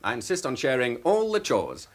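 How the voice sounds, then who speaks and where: A man's voice: mid-range voice, wildly swooping pitch, moderate pace. An actor playing a young newlywed businessman in a radio drama, suave and affectionate but guarded. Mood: genial, playful.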